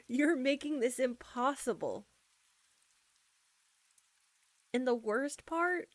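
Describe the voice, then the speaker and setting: A woman playing an embarrassed, anxious young roommate in an intimate audio roleplay, speaking softly and haltingly straight to the listener. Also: a little high, dry recording. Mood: flustered, exasperated. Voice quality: soft. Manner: halting, flustered, nervous stumbling.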